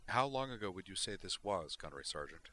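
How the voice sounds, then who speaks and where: A man voicing a calm woman officer who speaks in a measured, analytical way. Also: deep voice, dry recording, exaggerated voice.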